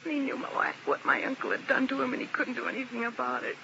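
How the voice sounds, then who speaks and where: A woman's voice: a little high, sing-song, quick pace, vocal fry, full character voice. An actress playing a grieving, vengeful young woman in a 1950s radio drama, speaking intensely and emotionally. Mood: anguished. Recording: dull, noisy old radio recording.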